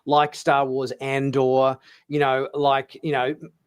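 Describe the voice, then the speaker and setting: A man's voice: medium pitch, monotone, takes it slowly, smooth. A man hosting a casual panel discussion, speaking hesitantly and often repeating words and phrases as he goes. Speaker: host of a fan talk show.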